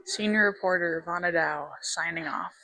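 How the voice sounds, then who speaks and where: A woman's voice: fairly low pitch, normal speed, bright, forceful tone, no room sound, poor audio. Teen girl student reporter, dry sound. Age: teens. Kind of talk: interviewing.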